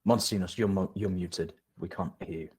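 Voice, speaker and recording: A man's voice: low-pitched, fairly even intonation, normal speed, a little mellow, breathy edge. A man moderating an online session, casual and loose, often repeating words as he hands the floor to speakers. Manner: casual, lots of fillers, repeats himself. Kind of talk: moderating a video call session. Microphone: video call.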